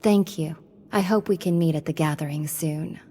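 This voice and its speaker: Woman playing a determined low-voiced witch rebel. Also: normal speed, background noise, natural voice. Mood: urgent, resolute. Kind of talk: dramatic video game cutscene acting.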